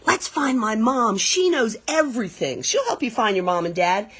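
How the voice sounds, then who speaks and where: A woman's voice: a little high, sing-song, moderate pace, a bit bright, slightly rough, exaggerated voice. A woman voicing a cheeky, eager young boy rat in a children's storybook.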